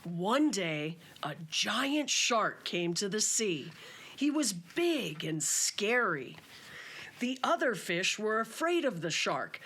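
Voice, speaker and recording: A woman narrates a children's storybook at a slow, careful pace. Her pitch sits in an ordinary middle range. The delivery is simple and measured, as an author reading aloud. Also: big pitch swings, fairly bright tone, husky.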